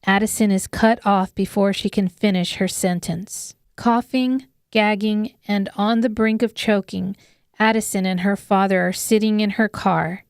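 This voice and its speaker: A woman with a smooth, clear voice giving scripted dramatic narration of an audiobook. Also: medium pitch, steady tone, measured pace, darkish tone, no echo, studio quality.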